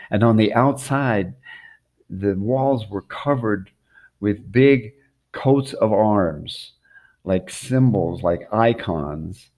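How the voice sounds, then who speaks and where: Deep, gravelly older man reminiscing. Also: darkish tone. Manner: halting, reflective, repeats words as he goes. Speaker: storyteller recounting a family-history trip. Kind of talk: unscripted personal reminiscence.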